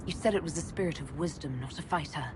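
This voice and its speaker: An actress playing a composed elven mage leader in a video game, direct and supportive. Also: female voice, deep voice, fast, noisy background, natural voice. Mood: businesslike.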